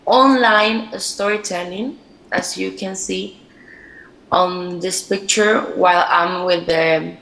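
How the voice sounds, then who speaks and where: Slow Spanish-accented woman storyteller. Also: on the low side, steady tone, gravelly, a bit bright, echoey room, rough recording. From Panama. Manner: slow, halting, many fillers. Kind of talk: online presentation. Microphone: video call.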